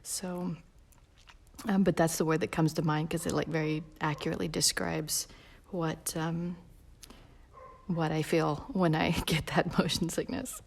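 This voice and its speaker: A woman with a low, gravelly voice talks viewers through a drawing step by step. She rambles easily with plenty of fillers and slips into soft near-whispers as she concentrates. Her level drifts a little as she leans toward and away from the mic. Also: level tone, a little slow. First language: not Portuguese. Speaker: drawing instructor. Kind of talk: live follow-along drawing tutorial.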